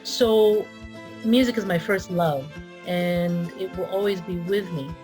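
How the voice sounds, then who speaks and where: A woman's voice: on the low side, measured pace, over music. A middle-aged woman from the Philippines, raised in Canada, chatting as an interview guest with frequent restarts. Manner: chatty, with restarts and light laughs. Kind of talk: casual interview talk.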